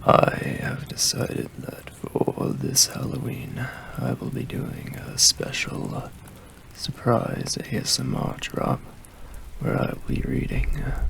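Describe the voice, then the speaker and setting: Slow, gravelly deep-voiced man reading softly. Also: slight background noise. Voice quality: deep, gravelly voice with heavy fry. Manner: slow, hushed delivery slipping into whispers. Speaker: ASMR creator. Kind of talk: ASMR poetry reading. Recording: faint rain ambience behind the voice. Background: rain ambience.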